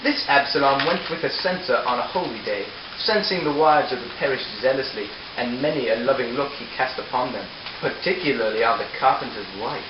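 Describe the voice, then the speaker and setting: A man's voice: mid-range voice, fairly quick, hardly any pauses, noisy background. A man reading a scripted storybook narration for a puppet show. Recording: echoey, dull lo-fi room with music. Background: music.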